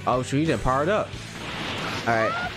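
A young man streaming a video game through a headset at home, reacting with loose, slangy excitement. He says himself that his voice is fairly high. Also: moderate pace. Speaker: gaming streamer. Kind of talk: casual gameplay commentary. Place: home gaming setup, indoors. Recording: game music playing behind. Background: game music and sound effects.

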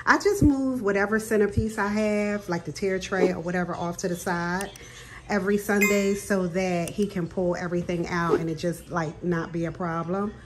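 A woman's voice: lowish voice, fairly even intonation, normal speed, noisy background. A middle-aged woman, chatting casually to her viewers in her kitchen while she films her groceries, calling them "girl" and laughing often. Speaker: vlogger. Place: home kitchen. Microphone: phone camera filming hands over a counter.

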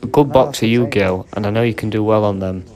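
A man with an English accent and a low voice talks casually to his viewers as a vlog host. He is chatty and informal, addressing them directly as he browses and comments. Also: fairly quick, crowd noise. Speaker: video host and reseller. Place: indoor auction warehouse.

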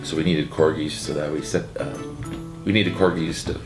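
A man in his forties with a very low voice answers interview questions from his seat by a window. He talks haltingly, restarting his sentences and filling gaps with uhs. Music plays clearly beneath him. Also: steady tone, echoey room, poor audio. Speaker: event co-founder. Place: indoor room by a window, seated. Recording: music bed underneath.

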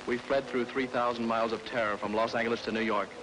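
A man's voice: medium pitch, fairly even intonation, fast talker, background noise, natural voice. A man voicing a hunted young American worker. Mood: tense. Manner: earnest, urgent, dramatic. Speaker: trailer narrator in character. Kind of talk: 1940s film trailer narration. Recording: old film soundtrack with music.

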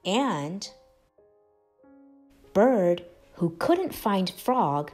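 Female children's storyteller: expressive, very unhurried, lively intonation, music underneath. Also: mid-range voice. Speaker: storybook narrator, host of read-aloud channel. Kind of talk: expressive children's read-aloud.

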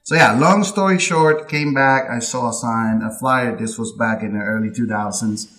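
Guest on a video-call interview: a talkative man in his late thirties from Puerto Rico, mid-pitched voice, quick laughs, headset mic. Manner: chatty, animated with hand gestures, laughs often. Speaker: insurance agency owner, guest. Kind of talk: casual interview storytelling. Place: home office. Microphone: headset with boom mic on video call.

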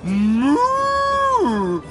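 A woman's voice: very high voice, huge pitch swings, very slow, over loud music, full character voice. A woman voicing a friendly, cheerful cow with a hospitable manner in a children's cartoon.

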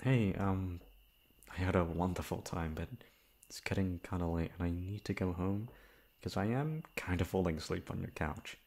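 A shy young male classmate, acted by a man for an ASMR roleplay. His voice sits fairly low. He is sweet-natured and easily flustered, with halting, stammered phrasing. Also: vocal fry, darkish tone. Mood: hesitant, sleepy. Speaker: voice actor. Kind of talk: ASMR roleplay acting, one-sided dialogue.

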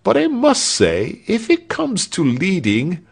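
A man's voice: high-pitched, wildly swooping pitch, slow pace, vocal fry, breathy edge, pitched above the performer's own voice, full character voice. A man voicing a vain, easily stung male rebel in a storybook, snappish and self-important.

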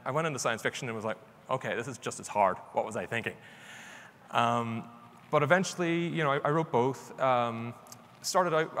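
A man's voice: medium pitch, a bit bright. A middle-aged man with a Northern Irish accent gives an informal conference talk in a conversational, self-deprecating way. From Northern Ireland. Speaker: conference speaker, author. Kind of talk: informal live presentation. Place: large conference stage indoors. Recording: reverberant hall with background noise.

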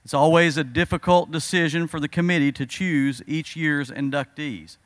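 A man's voice: on the high side, steady tone, normal speed, fairly bright tone, no echo. Formal middle-aged male emcee. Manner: formal, reading announcements. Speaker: master of ceremonies. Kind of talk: reading a program script. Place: school auditorium stage at a podium. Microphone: podium microphone.

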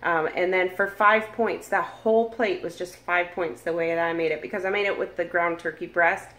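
A woman's voice: on the low side, fairly even intonation, normal speed, fairly bright tone. A woman in her forties chatting to viewers as she cooks and tastes a meal in her home kitchen, filmed on a camera held at arm's length. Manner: chatty, talks to viewers directly. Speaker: cooking vlogger. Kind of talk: casual cooking walkthrough. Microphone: camera mic at arm's length. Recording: echoey kitchen with sizzling.

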